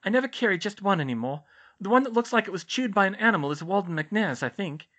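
A woman's voice: mid-range voice, animated, fast talker, fairly bright tone, voice pushed up. A woman voicing an anxious, earnest and insistent teenage girl witch in a storybook reading. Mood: nervous, pressing. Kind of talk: audiobook-style character dialogue.

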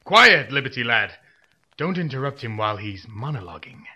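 A man's voice: mid-range voice, sing-song, normal speed, breathy edge, lo-fi sound. A man playing a pompous, earnest superhero commander in a comedy audio drama, with heroic formality that slips into friendly chat.

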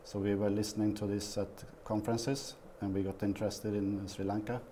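A Swedish man in his fifties, a guest in a small TV studio interview, talking haltingly with many fillers. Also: fairly low pitch, little pitch movement, mellow, a little hiss or hum. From Sweden. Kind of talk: casual interview.

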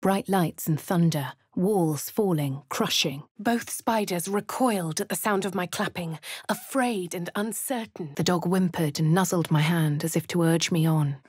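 An actress playing a posh, composed fantasy adventurer in a video game, with a British accent and a refined, measured, dramatic delivery. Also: female voice, on the low side, a little mellow, husky, no echo, clean studio sound. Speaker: voice actor for a video game player character. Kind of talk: scripted game voice lines and narration.

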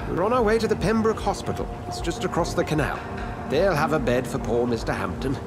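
British accent, genial hospital doctor, theatrical and formal, fairly high voice, game dialogue over loud music. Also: male voice, animated, brisk. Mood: composed, earnest. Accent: British English. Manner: theatrical, formal, gentlemanly turns of phrase. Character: genial, eloquent English doctor and hospital administrator, enthusiastic. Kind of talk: video game dramatic acting.

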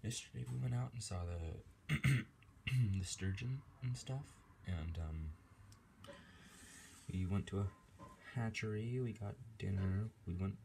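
A woman's voice: extremely low pitch, measured pace, gravelly, pauses often, dark tone. A young woman vlogging casually on her phone, chatting about her trip and a stray dog that wandered into the yard. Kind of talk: casual vlog chat. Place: porch and house, outdoors and in. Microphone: handheld phone camera. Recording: noisy phone audio outdoors.

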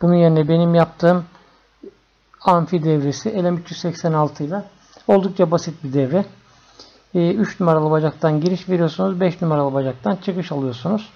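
A man narrating an informal electronics tutorial in an unhurried, matter-of-fact way. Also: fairly high pitch, steady tone, vocal fry. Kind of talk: informal explanatory screencast narration. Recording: dull, lo-fi screencast audio.

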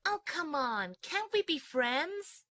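A woman's voice: high-pitched, very animated, slow pace, sharp tone, no echo, studio quality, heavily acted. A woman playing a perky, girlish teenage ninja girl in a comic anime parody. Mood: perky, pleading.

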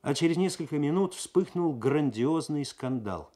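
A man reading a story aloud as dramatic 1970s radio narration, measured and expressive. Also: a little high.

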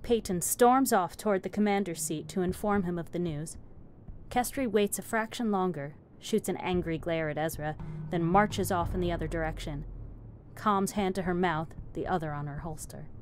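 A woman's voice: on the low side, normal speed. A woman doing scripted narration, reading stage directions as a narrator. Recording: music under the narration.

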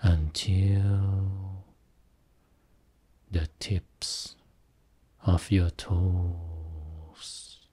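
A man guiding a Buddhist meditation as a teacher, speaking soothingly in short phrases with long pauses between them. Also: deep voice, flat delivery, warm tone, husky. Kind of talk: guided meditation.

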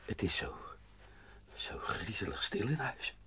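A timid, jittery manservant in a children's radio play. He stammers slowly in a low voice that leaps wildly in pitch, with noise behind it. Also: big pitch swings, in a whisper. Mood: frightened. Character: timid, easily frightened manservant of a rich household. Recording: clearly noisy audio play recording.

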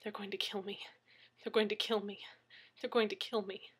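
A woman narrator performs a frightened man in an audiobook story. He is tearful and breathless, stumbling over words as he pleads, with a sharp, strained brightness. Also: on the high side, husky, full character voice. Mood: whispered dread. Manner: stammering, halting, pleading. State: crying, breathless. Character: terrified, sobbing man pleading after an assault.

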